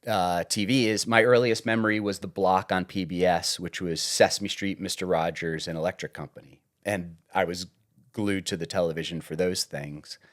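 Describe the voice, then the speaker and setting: Low-voiced man, joking and chatty. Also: moderate pace. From suburban New York. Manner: joking, deadpan teasing, lively storytelling. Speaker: podcast guest, TV writer. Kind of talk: casual interview chat.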